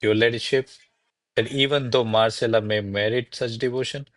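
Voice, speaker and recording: A man's voice: medium pitch, steady tone, moderate pace, dry recording, natural voice. A man playing a courtly, ardent servant in a classical radio play, speaking in a formal, florid, deferential manner. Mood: deferential, defensive. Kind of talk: stage-style radio play acting of classical verse.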